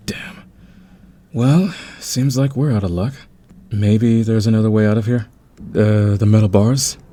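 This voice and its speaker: A man in an audio roleplay playing a friendly, easygoing thief with a relaxed, encouraging, conversational manner. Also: fairly low pitch, unhurried, long pauses. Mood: easygoing, concerned. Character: friendly, easygoing male thief, curious about teammates.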